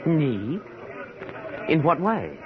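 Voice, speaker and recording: An actor playing an earnest, polite and confident author in an old-time radio drama. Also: male voice, a little high, wildly swooping pitch, drawn-out words, a crowd in the background, exaggerated voice. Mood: curious. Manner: polite, idealistic. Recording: muffled old radio recording.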